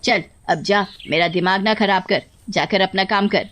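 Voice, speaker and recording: A woman voicing a harsh older mother-in-law, sharp, nagging and contemptuous, in a cartoon story. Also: mid-range voice, moderate pace, lightly acted. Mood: angry, scornful.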